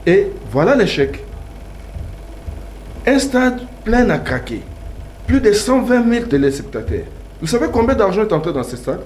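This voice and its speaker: A man's voice: high-pitched, sing-song, normal speed, pauses often, a little mellow. A man with a Congolese accent arguing insistently in a political debate, using rhetorical questions. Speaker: debate guest. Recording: echoey, noisy room.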